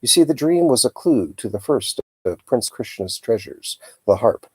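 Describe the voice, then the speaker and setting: A man's voice: medium pitch, sing-song, normal speed, darkish tone, slightly rough, no echo. A man reading the part of a thoughtful, brainy young girl in a storybook, reasoning things out aloud.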